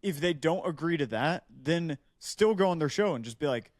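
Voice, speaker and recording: A man's voice: fairly high pitch, expressive, moderate pace, dry recording, clean studio sound. A man on a podcast panel giving frank advice in casual talk, swearing now and then.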